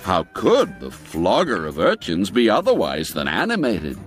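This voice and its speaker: A man's voice: wildly swooping pitch, faint music behind. A man narrating a radio drama in a theatrical manner, savouring old-fashioned phrases. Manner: slow and deliberate, with wide swoops in pitch. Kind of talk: dramatic audio-drama storytelling.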